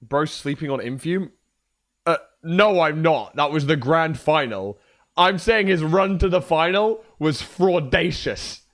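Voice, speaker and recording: A young man with a hoarse voice, chatting casually and joking on a livestream. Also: a little high. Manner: joking, trash-talking, swears a lot. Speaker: streamer.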